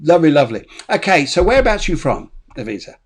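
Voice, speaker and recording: A man's voice: on the high side, very animated, quick pace, slightly rough. A man hosting an online spiritual show, chatting casually with many fillers and restarts. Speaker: show host.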